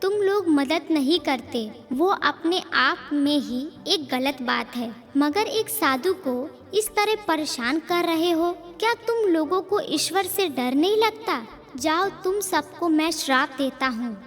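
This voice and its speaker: A woman's voice: high voice, animated, a little slow. A woman voicing a humble wandering holy man in a Hindi cartoon story, with polite, formal speech. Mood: indignant. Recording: long reverb, music behind.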